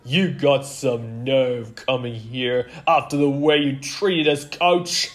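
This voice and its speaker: A man's voice: medium pitch, steady tone, slow, voice pushed up, close to an everyday voice. A man voicing indignant, mocking male football players in a children's story.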